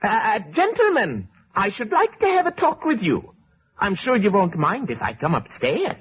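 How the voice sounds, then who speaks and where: An actor playing a meek, bookish little professor, polite, stammering and fussily formal, in an old radio drama. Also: male voice, sits high, wildly swooping pitch, moderate pace, a bit bright, a little hiss or hum, exaggerated voice. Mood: polite, insistent. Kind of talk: 1940s radio acting. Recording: muffled old radio recording.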